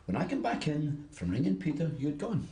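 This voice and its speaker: A man's voice: a little high, wildly swooping pitch, brisk, warm tone, a little hiss or hum. An actor playing a stern, grieving middle-aged British father in a naturalistic drama, scolding and pleading. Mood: angry, worried. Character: stern, grieving, exasperated widowed father of teenagers. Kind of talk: naturalistic screen drama acting.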